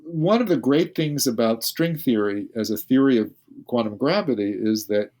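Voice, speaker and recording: A man's voice: medium pitch, expressive, normal speed, a little mellow. A male physicist, a guest on a podcast interview, who explains carefully and thinks aloud as he speaks.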